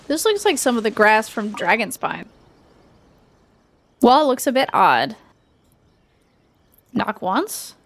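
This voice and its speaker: A woman's voice: fairly high pitch, very animated, takes it slowly, long pauses. A woman game streamer giving casual commentary, thinking aloud in an informal way. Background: faint game music.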